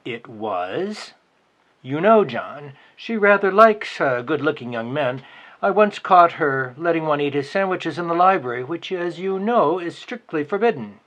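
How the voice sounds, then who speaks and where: A man's voice: fairly high pitch, expressive, a little slow, a bit bright. A man playing an irritable male library boss in a dramatized novel reading, fussy, sarcastic and gossipy. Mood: waspish.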